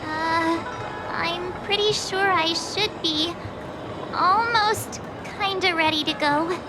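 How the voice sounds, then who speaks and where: Super high girly game heroine, perky n curious, loud music behind her. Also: takes it slowly, poor audio. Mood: nervous, plucky. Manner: perky, girlish, chatty. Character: bubbly young amnesiac girl adventurer, cheerful and curious. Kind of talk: video game voice acting.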